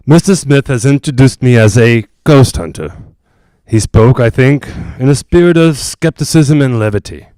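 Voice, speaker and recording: A man playing a solemn, earnest psychic ghost hunter, formal and halting, in a 1940s-style radio drama. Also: medium pitch, darkish tone, clean tone, no echo, natural voice. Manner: formal, measured, halting, grave.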